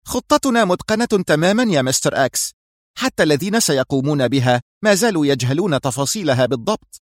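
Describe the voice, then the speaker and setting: Man voicing a tense, sharp female spy, pitched up. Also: animated, fast talker, dry recording, clean studio sound, close to an everyday voice. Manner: nervy, sharp, argumentative.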